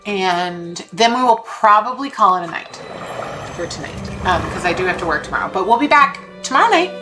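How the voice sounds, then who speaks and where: A woman's voice: medium pitch, lively intonation, a little fast, poor audio. Chatty gushing lady streaming a game, cracking jokes, music going in the background. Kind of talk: casual gameplay chat. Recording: game music playing behind.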